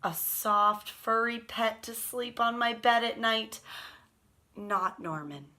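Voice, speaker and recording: A woman voicing a reluctant, grumbling little boy in a picture book, with emphatic, drawn-out storybook delivery. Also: on the high side, pushed and bright. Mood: disappointed, emphatic.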